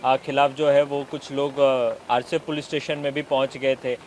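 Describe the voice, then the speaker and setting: A man's voice: mid-range voice, level tone, moderate pace, poor audio. A man reporting and interviewing on location for TV news, in hesitant, filler-heavy phrasing. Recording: outdoor street noise, traffic.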